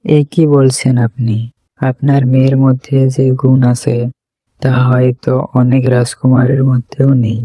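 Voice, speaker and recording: A man's voice: medium pitch, level tone, unhurried, warm tone, no room sound, lightly acted. A man voicing a gracious young prince who speaks earnestly and courteously.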